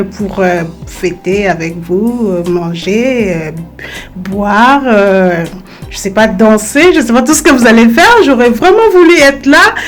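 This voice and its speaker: A woman recording a hesitant video greeting for a church anniversary, pausing often with filler sounds as she sends blessings. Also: a little high. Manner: warm well-wishing, blessing. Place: Berlin, Germany.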